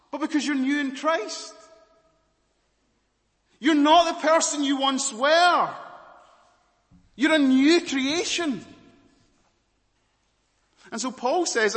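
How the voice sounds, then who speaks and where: A man with a Scottish accent preaching a sermon, moving between hushed and loud emphatic passages. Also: sits high, in a large hall. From Malawi, raised as Scottish.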